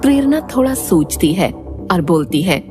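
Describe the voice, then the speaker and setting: A woman narrating a scripted story in an expressive storytelling manner. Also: fairly low pitch, normal speed, music in the background.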